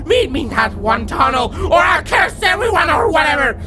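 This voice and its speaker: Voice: sits high, very animated, normal speed, shouting, a crowd in the background, background noise. A performer voicing a crude old granny spirit in a cartoon parody, whiny, melodramatic and foul-mouthed. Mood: taunting, bossy.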